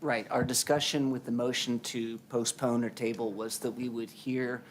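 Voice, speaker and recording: A commissioner at a meeting who speaks in a measured, procedural way. His voice sits in the middle range and stays flat. Faint room noise is heard behind him. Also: mid-range voice.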